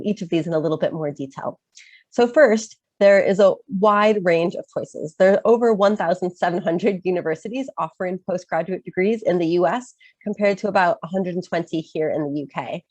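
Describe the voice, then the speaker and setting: A woman's voice: fairly low pitch, darkish tone, no room sound. A woman in her thirties from Chicago with an American accent, presenting an informational webinar while recovering from a cold, with frequent um fillers. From Chicago, USA. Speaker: study-abroad advisor, webinar presenter.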